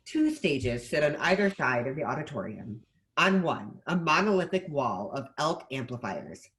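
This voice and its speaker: Lively, very varied male narrator. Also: a little high, moderate pace, poor audio. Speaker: narrator reading stage directions. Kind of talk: scripted narration.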